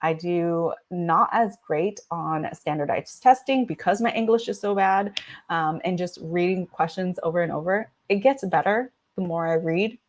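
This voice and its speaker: A woman's voice: fairly low pitch, moderate pace. A young woman physician from the United States whose first language is Vietnamese, giving chatty, casual advice with lots of filler words. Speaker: physician, channel host. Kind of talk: casual advice talk to camera.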